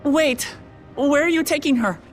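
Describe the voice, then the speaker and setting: An actress playing an earnest, tender-hearted young heroine in a game cutscene, halting and emotional. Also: female voice, high voice, soft background music, close to an everyday voice. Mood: pleading. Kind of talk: dramatic video game cutscene acting.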